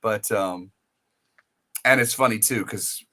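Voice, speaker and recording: American male host, bright forceful voice, loose chatty interviewer who wanders into anecdotes. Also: fairly low pitch, slow pace. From United States. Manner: rambling, storytelling with many restarts. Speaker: podcast host. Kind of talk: casual interview chat.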